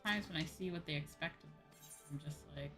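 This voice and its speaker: A woman's voice: sits low, fast talker, pushed and bright, faint music behind. A woman streamer talking casually and conversationally in a livestream chat. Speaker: VTuber streamer.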